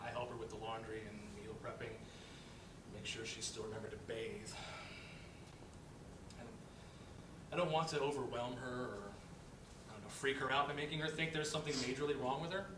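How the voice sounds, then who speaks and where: A man's voice: fairly even intonation, normal speed, pauses often, close to an everyday voice. A man playing a wry, outspoken office worker in a dramatic reading of a play, speaking in a conversational, emphatic way. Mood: subdued, earnest. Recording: echoey room, distant mic, rumbling noise.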